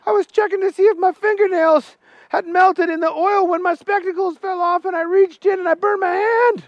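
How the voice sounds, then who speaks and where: A man putting on a high, bewildered clumsy fry cook. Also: fairly even intonation, moderate pace, no room sound, dull audio. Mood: pleading. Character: clumsy dim-witted young male fry cook.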